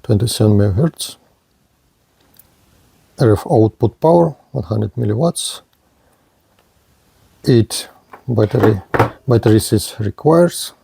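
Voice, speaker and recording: A man giving a hands-on product demonstration in halting short phrases, with non-native English phrasing. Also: lowish voice, creaky, warm tone, breathy edge. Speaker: radio reviewer.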